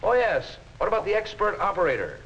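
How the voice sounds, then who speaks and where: An American actor playing a brusque, patronizing middle-aged office boss in a 1950s instructional film, on a muffled, hissy soundtrack. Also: male voice, sits high, sing-song, quick pace, fairly bright tone, full character voice. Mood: brisk, businesslike. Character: exasperated male accounting department boss, brusque and patronizing.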